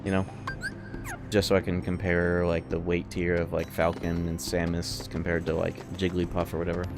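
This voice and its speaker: A man's voice: low-pitched, completely flat delivery, normal speed, creaky. A man livestreaming a video game, chatting casually with viewers in a rambling, sweary, informal way. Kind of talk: casual gameplay commentary and chat with viewers. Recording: loud game music behind voice. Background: loud video game music.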